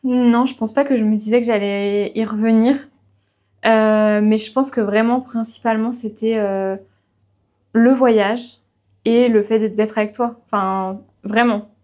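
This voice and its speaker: A woman's voice: on the high side, fairly even intonation, a little slow, a little mellow, muffled recording. A young woman podcast guest, telling her own story in a casual, conversational way. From of Portuguese family background. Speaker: podcast guest, freelance web writer.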